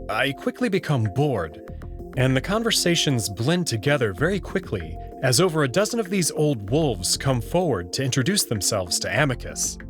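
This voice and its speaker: A man reading first-person story narration from a script. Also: mid-range voice. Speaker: narrator reading a visual novel aloud. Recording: music playing behind the voice.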